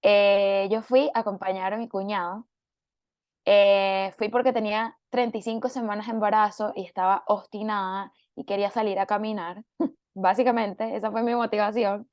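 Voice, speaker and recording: A hoarse woman with a Venezuelan accent, a photojournalist giving a relaxed, unhurried talk over a video call, with many filler pauses. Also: medium pitch, no room sound, studio quality. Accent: Venezuelan Spanish. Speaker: photojournalist guest speaker. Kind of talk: relaxed talk on an online panel.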